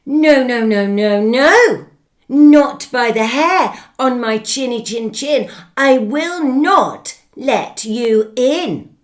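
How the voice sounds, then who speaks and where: A woman voicing a small, stubborn little pig in a children's story, chanting in a high, squeaky voice. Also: expressive, very slow. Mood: defiant.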